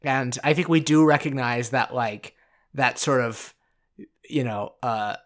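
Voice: medium pitch, a little slow. Playful thirty-something podcast co-host. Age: 30s. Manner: playful, musing, trails off. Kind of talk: casual film discussion.

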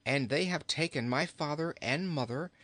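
A man's voice: on the high side, moderate pace, a bit bright, no room sound, pitched above the performer's own voice. A man voicing an earnest, sorrowful young boy in a plain, subdued manner. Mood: sad.

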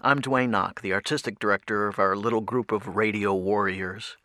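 Guy introducing a radio show, crisp bright voice, dry recording, polished and scripted. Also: lowish voice, fairly even intonation, moderate pace, dry recording, soft background music. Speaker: artistic director introducing the show.

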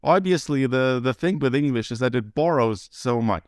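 Podcast guest: man, smooth dry voice, earnest explainer with wry wit. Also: medium pitch, expressive, normal speed, dry recording. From Lithuania. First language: Lithuanian. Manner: earnest, explanatory, dryly humorous. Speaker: guest, translator and philosopher. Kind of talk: casual podcast conversation.

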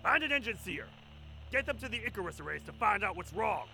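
An actor playing a tense, gruff frontline soldier with a gravelly voice, clipped and urgent, in a sci-fi war drama. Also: male voice, high voice, big pitch swings, fairly quick, bright, forceful tone, slight background noise, exaggerated voice.

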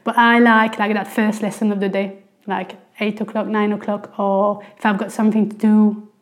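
A woman with a French accent talks casually in English, from northern France. She chats freely, with lots of fillers and restarts, at a middle pitch. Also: flat delivery, normal speed. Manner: chatty, many fillers and restarts. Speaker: guest, language coordinator. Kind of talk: casual podcast conversation.

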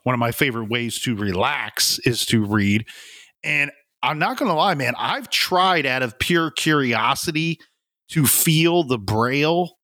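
A man's voice: mid-range voice, lively intonation, a little slow, sharp tone, no echo, studio quality. A man co-hosting a casual podcast chat, talking earnestly and chattily with many fillers. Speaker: podcast co-host.

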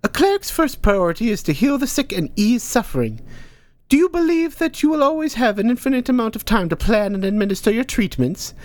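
A man's voice: very high-pitched, big pitch swings, no echo, pitched above the performer's own voice. A man voicing a stern, scolding older woman who teaches healing. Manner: brisk, scolding.

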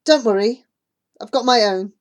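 A woman's voice: a little high, normal speed, pitched above the performer's own voice, lightly acted. A woman voicing a hurt, stubborn young girl who breaks into angry, emotional outbursts. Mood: distraught.

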